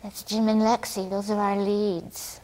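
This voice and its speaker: A woman with a husky voice, chatting casually as an interview guest. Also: medium pitch, level tone, unhurried, warm tone, rough recording. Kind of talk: casual chat. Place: small TV studio, seated at a table.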